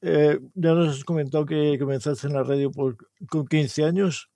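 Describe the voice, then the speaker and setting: Measured man, fairly high voice. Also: steady tone, vocal fry, dark tone, no room sound. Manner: measured, reads lists of places evenly. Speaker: host of an amateur radio program. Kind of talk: scripted explainer then interview.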